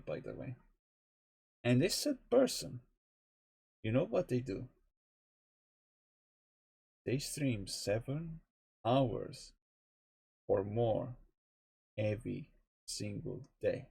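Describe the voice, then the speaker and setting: A young man muses aloud at an unhurried pace, his pitch swinging widely from line to line. He talks in a loose, chatty way and swears casually. The recording is dry and close, with no room sound. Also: creaky, a little mellow, slightly rough, no echo. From Estonia. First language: Estonian. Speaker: streamer. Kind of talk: rambling gameplay commentary.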